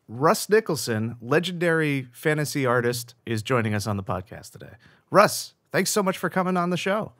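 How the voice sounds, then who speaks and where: Bright lively male co-host. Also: a little high, no room sound, clean studio sound. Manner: friendly, quick to laugh. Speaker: podcast co-host. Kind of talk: interview questions.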